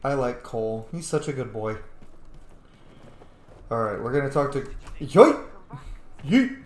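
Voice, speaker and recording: Animated man gaming in an echoey room. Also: medium pitch, a little slow. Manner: playful, informal, thinking aloud, sweary. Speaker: gaming video host. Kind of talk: casual let's-play commentary.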